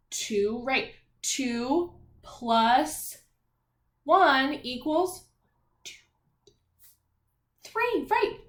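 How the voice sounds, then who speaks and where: A woman elementary teacher leading a read-aloud math lesson for young children, speaking in an encouraging, singsong, questioning way. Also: on the high side. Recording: echoey room.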